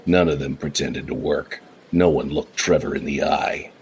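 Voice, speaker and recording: A man narrating a noir radio play in a measured, even storytelling way. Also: a bass voice, slight background noise. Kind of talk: scripted narration.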